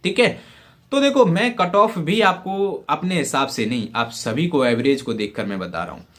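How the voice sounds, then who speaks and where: A man's voice: fairly high pitch, lively intonation, normal speed, a bit bright. A young man from Uttar Pradesh talks informally to exam candidates, with frequent check-in phrases and English words mixed in. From Uttar Pradesh, India. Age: young adult. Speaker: exam-prep YouTuber.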